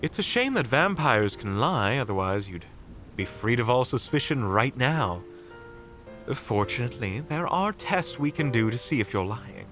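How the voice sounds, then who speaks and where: An unflappable, formal monster hunter from a fantasy audio drama, acted by a man. The voice sits at a middling pitch and moves expressively up and down. The phrasing is prim and wryly polite, with quiet music behind it. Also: sing-song, moderate pace. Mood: cool suspicion.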